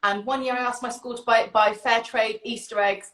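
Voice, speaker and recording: A woman's voice: a little high, steady tone, moderate pace, a bit bright. A British woman hosting a live online session, chatting casually and enthusiastically to viewers. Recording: roomy, echoing sound.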